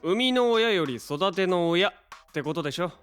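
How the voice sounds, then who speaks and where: An actor playing a brash, warm-hearted young man with casual, slangy speech in a Japanese audio drama. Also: high voice, lively intonation, moderate pace, soft background music. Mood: casual, friendly.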